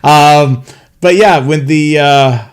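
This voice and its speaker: Casual, halting male co-host. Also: a little high, clear, resonant tone. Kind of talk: casual chat.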